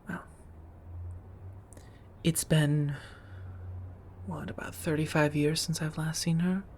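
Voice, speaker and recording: A centuries-old female vampire played by a woman, her voice low and soft. She speaks in measured, formal phrases, gentle and affectionate but guarded, as in an intimate ASMR roleplay. There is noticeable noise in the recording. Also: little pitch movement. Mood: hesitant, guarded. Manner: measured, formal wording with endearments, hesitant confessions.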